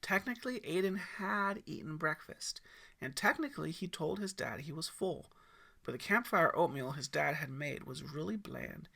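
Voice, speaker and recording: A man narrating a scripted story as an audiobook reader, in an expressive storytelling style. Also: a little high, moderate pace, gravelly.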